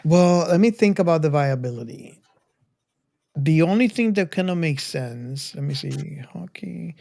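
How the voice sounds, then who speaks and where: A man in casual conversation, thinking his answers out loud as a quiz participant. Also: a little high, lively intonation, a little slow, creaky, no room sound, clean studio sound. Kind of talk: casual chat, thinking aloud.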